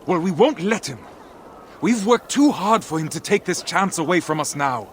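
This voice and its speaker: Male Viking trader, proud and earnest, highly animated delivery, slightly high-set voice. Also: normal speed, a little hiss or hum. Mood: indignant. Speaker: video game character. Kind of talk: dramatic game cutscene acting. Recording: music behind the voice.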